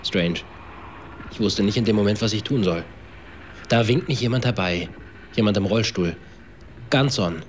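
A man's voice: fairly low pitch, normal speed, pauses often, slight background noise, lightly acted. An actor playing a cocky young man who recounts events in a slangy, clipped, matter-of-fact way in a radio drama. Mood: uneasy recall. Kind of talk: German radio drama acting, confessional narration. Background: music.

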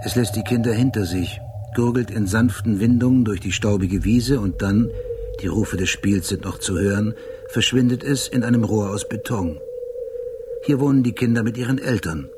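Man as a detached storyteller, lowish voice, measured, precise radio drama narration, clear ambient noise behind. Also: close to an everyday voice. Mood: detached, bleak. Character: sober, detached storyteller describing a bleak landscape.

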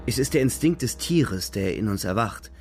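Low, grave soldier narrator. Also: male voice, lively intonation, gravelly, darkish tone, soft background music. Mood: sombre, tense. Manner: measured, grave, deliberate phrasing. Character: young soldier narrating the front, sombre, watchful. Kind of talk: literary narration in a radio play.

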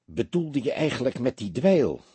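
A man acts out a young police detective in an audiobook, a puzzled junior partner with a rough, very husky voice. He sounds wary and questioning, a little defensive, recorded close and dry. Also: mid-range voice, sing-song, moderate pace, warm tone, no room sound. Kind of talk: audiobook character dialogue.

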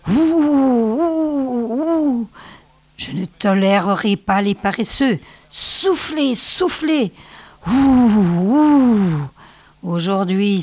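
A woman voicing a fierce old female snow witch who drives her wolves with shrill, bellowing cries. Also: warm tone, husky, no echo, exaggerated voice.

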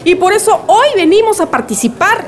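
Actress playing bold village woman, very high pitch, formal, radio drama, faint crowd. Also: sing-song, fairly quick. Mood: polite, determined. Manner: formal, deferential. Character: polite but bold village woman at assembly.

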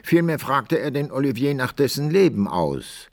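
A man's voice: medium pitch, sing-song, normal speed, vocal fry. An actor playing an old-time author who narrates his hero's adventures in a radio play, emphatic and literary. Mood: narrating.

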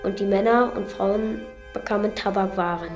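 A woman's voice: mid-range voice, fairly even intonation, moderate pace. A woman reading a plain eyewitness account aloud in a radio feature. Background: instrumental music.

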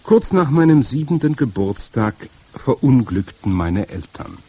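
A man narrating a first-person children's story with dramatic, animated delivery. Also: medium pitch, a little slow, slightly rough. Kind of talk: first-person audiobook reading.

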